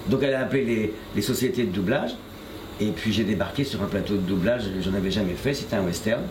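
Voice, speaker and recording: A man's voice: lowish voice, fast. An older man, a voice actor, answering interview questions about his career in a hesitant way, with many fillers and restarts. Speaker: voice actor guest. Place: shop interview, echoey room. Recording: echoey room with noise.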